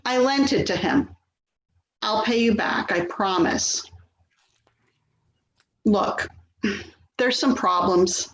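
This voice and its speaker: A woman's voice: mid-range voice, natural voice. A woman acting a harried, placating adult daughter in a readers theater play, weary, hesitant and pleading. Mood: defensive. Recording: dull, echoey, clearly noisy audio.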